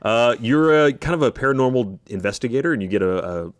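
A man's voice: medium pitch, lively intonation, quick pace, dry recording. A man in his thirties co-hosting a gaming podcast, chatting casually in a playful, joking way. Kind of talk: casual chat. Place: podcast studio, indoors. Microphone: studio podcast microphone at desk.

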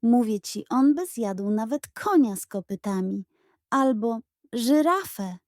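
Woman acting a high-pitched, excitable little girl. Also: a little slow, dry recording, clean studio sound. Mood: chatty, determined. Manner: exclamatory, incredulous, colloquial.

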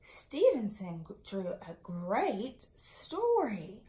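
A woman voicing an earnest, persistent little boy from a picture book, in an expressive, emphatic storybook delivery. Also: mid-range voice, very slow, exaggerated voice. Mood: amazed. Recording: echoey room.

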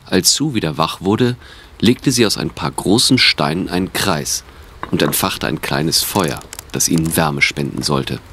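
A man's voice: extremely low pitch, lively intonation, unhurried, a bit bright, slight background noise. A man reading scripted narration for an audio drama.

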